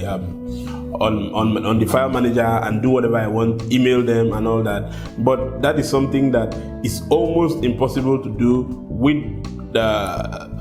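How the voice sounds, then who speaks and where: A man from Nigeria with a Nigerian accent, talking casually to his viewers as a tech vlogger and sometimes repeating words while he thinks. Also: medium pitch. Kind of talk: casual talk to camera. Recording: echoey room with soft guitar music behind.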